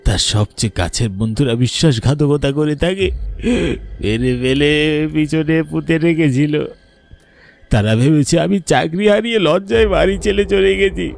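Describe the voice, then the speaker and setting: A man's voice: on the high side, moderate pace, creaky, darkish tone. Man playing a vengeful ghost schoolteacher, menacing, bitter, accusing, cartoon, faint music behind. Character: vengeful ghost of a murdered village teacher, man. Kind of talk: cartoon voice acting.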